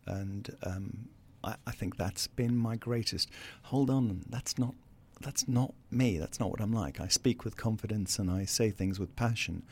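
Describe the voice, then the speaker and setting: A man's voice: lowish voice, a little slow, few pauses, a little mellow, husky. A man in his sixties with a South African accent, a guest in a casual interview who hesitates, restarts and repeats words while speaking earnestly.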